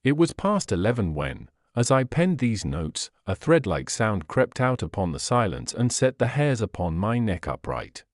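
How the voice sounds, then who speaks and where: Low-voiced man narrating with lively pitch. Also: dry recording, studio quality. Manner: measured, literary, formal storytelling. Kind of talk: scripted audiobook narration of a Victorian detective story.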